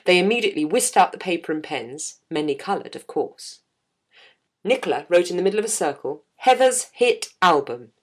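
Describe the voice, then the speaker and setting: Low-voiced woman, wry narration. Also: lively intonation, moderate pace. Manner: wry, self-mocking humour. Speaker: jazz singer and podcast host. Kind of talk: reading her own blog aloud.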